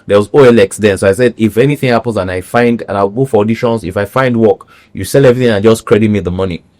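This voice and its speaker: A man's voice: lowish voice, fast talker, a little mellow. A middle-aged Nigerian man with a Nigerian accent, an actor speaking earnestly as a guest in an interview and repeating phrases for emphasis. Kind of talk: conversational interview.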